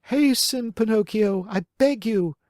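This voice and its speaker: Guy doing a very high little girl goat, frantic and urgent, dry close sound. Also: unhurried, darkish tone, dry recording. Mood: pleading. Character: small pleading female goat, urgent.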